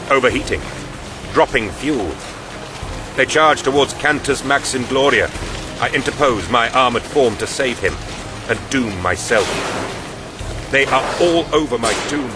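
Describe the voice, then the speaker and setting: An actor playing an ancient, devout warrior dying inside a war machine, narrating a science-fiction audio drama in a solemn, grave manner. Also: male voice, medium pitch, expressive, unhurried, long pauses, lightly acted. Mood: grim, battle-strained. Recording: loud music behind the voice. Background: dramatic music.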